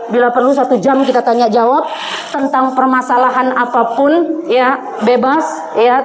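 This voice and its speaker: A woman in her forties preaching to a women's congregation in a conversational way, often addressing her listeners directly. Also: sits high. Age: 40s. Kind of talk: sermon to a women's congregation, with recited Arabic quotations. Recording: echoey room, faint background noise.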